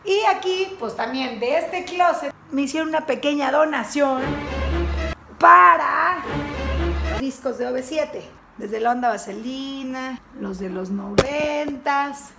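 A woman's voice: sits high, expressive, slow, music in the background. A woman in her thirties giving a chatty, upbeat tour of her home, talking into a handheld camera as she walks from room to room. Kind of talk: casual house tour walk-through. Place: rooms of a house, indoors. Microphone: handheld camera, close, moving. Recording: echoey rooms, clear background noise.

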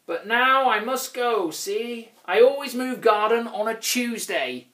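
A man's voice: very high voice, lively intonation, measured pace, pushed and bright, echoey room, pitched above the performer's own voice, heavily acted. A man voicing a small, cheeky, scruffy troll in an informal storybook character voice. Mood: wistful.

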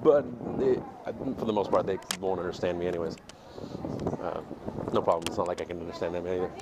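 A man's voice: low voice, steady tone, fast. Man vlogging while walking down a city street. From United States. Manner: reflective, candid, with frequent hesitations. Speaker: dance teacher and vlogger. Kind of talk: casual walking vlog monologue. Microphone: earphone headset mic, phone at arm's length. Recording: noisy outdoor street recording. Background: street ambience and passing vehicles.

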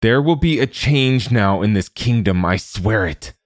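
A man's voice: extremely low pitch, lively intonation, moderate pace, dark tone, no room sound, clean studio sound. A man voicing an eager, ambitious young prince turned king in a storybook, with a declamatory, commanding manner. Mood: proud, rousing.

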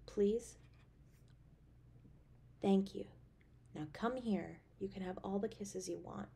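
A woman acts out an affectionate girlfriend in an intimate romantic audio drama. Her voice sits at a middling pitch for a woman. She speaks tenderly and expressively, with an anxious streak and a playful, teasing side. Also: takes it slowly, creaky, slightly rough, heavily acted. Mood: caring, coaxing. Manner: tender, expressive, with sighs and soft chuckles. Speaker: voice actor in an audio roleplay.

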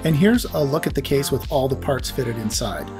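A man's voice: medium pitch, expressive, normal speed, music in the background. A man hosting a hobby tutorial, explaining things step by step in a plain-spoken way. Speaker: host of an electronics hobby channel.

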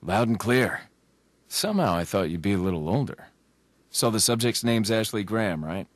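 Young government agent, American, deep voice, cool, dryly wry, video game dialogue. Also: male voice, lively intonation, normal speed. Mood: cool, confident. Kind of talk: video game cutscene acting.

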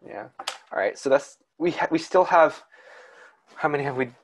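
A young man co-hosting a casual podcast, talking at length in a calm, soothing voice, often restarting his sentences. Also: on the high side, normal speed. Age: young adult. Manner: rambling, with many restarts and fillers. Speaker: podcast co-host. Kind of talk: casual chat.

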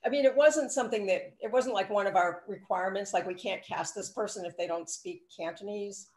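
An older woman, a film director, recalling the making of her film in a relaxed Q&A over a video call from her living room, acting out what people said. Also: mid-range voice, a little fast. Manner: chatty, acts out quoted lines. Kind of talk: casual Q&A storytelling. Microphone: laptop webcam on a video call. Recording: roomy video call audio.

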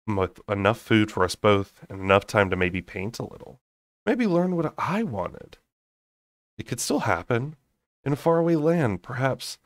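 Low-pitched young man reading a novel aloud. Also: no echo, clean studio sound. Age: young adult. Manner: unrehearsed, expressive, occasional stumbles. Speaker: amateur audiobook reader. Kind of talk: dramatic storybook narration, reading aloud. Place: small bedroom, indoors.

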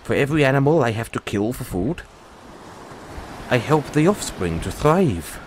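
A man playing a free-spirited, kindly dragon rider and mentor in an audio roleplay, musing and unhurried with pauses. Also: medium pitch, very animated, slight background noise, close to an everyday voice. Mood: proud, carefree.

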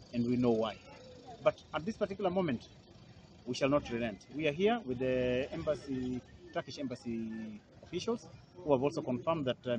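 A man's voice: mid-range voice, a little mellow, dull audio. Guy, lawyer talking to press outside, fired up, repeats stuff, lotta noise around. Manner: indignant, emphatic, repeats phrases for force. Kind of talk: press interview. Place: outdoors at an airport. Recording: noisy outdoor field recording.

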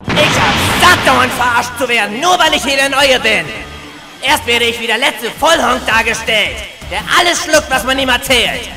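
A very high, creaky young male hedgehog from a cartoon played by a man. He is naive and quick to rage, whining theatrically in a strained voice, with music behind him. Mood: furious, ranting. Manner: whiny, theatrical, overwrought. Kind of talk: cartoon fandub.

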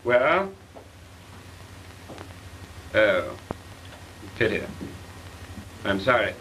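A man's voice: lowish voice, sing-song, drawn-out words, pushed and bright, a little hiss or hum, rough recording. A man playing a chief flying instructor in a 1940s British film, speaking in a dry, clipped manner with a British accent.

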